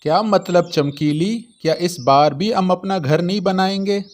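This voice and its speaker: A man's voice: sits high, normal speed, natural voice. A man voicing a hesitant, deferential male crow, a henpecked husband in a cartoon.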